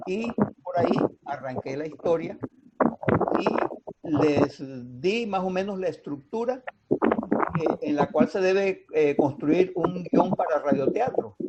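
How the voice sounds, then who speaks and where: A man with a Colombian Caribbean accent explaining things in a didactic, deliberate way with pauses, as in an interview. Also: high voice, lively intonation, heavy background noise. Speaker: radio drama writer and mentor. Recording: dull, muffled lo-fi sound.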